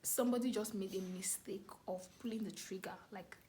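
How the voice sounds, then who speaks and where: A woman's voice: mid-range voice, steady tone, fairly quick, dark tone, slight background noise. A young woman with a Nigerian accent telling a personal story casually and expressively to camera, repeating phrases for emphasis. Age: young adult. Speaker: vlogger, storyteller.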